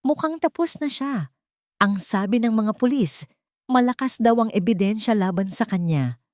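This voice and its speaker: A man's voice: high-pitched, lively intonation, moderate pace, a little mellow, no room sound, clean studio sound, pitched above the performer's own voice. A woman voicing a tired, confused grown son burdened with guilt in a dramatic love story. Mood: guarded. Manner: tired, subdued.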